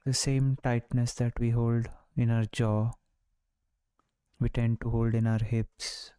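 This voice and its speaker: Slow, mellow man guiding meditation. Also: mid-range voice, smooth, no echo. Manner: calm, soothing, unhurried with long pauses. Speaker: meditation guide. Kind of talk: guided body-scan meditation.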